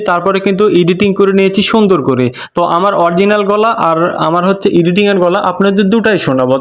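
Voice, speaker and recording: A man narrating a step-by-step app tutorial in a repetitive, instructional way. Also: sits high. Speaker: tutorial host.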